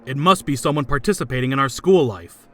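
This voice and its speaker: A man as an arrogant, sharp-voiced schoolboy. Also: medium pitch, animated, brisk, soft background music. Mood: haughty, impatient. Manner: haughty, clipped, imperious. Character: arrogant, coldly logical elite male high-school student. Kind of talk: anime game fandub.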